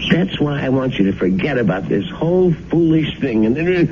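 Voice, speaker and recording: A young man playing a sly, ingratiating boarder with a chesty cold, speaking haltingly in a 1970s radio drama. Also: medium pitch, very animated, heavy background noise, close to an everyday voice. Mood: coaxing. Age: young adult. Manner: halting, coaxing. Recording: muffled old radio broadcast.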